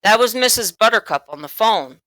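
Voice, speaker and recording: A woman's voice: fairly high pitch, expressive, moderate pace, fairly bright tone, no echo, voice pushed up. A woman voicing a matter-of-fact father in a children's storybook, calling out to his wife. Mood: casual, calling out. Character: father, loudly relaying news to his wife.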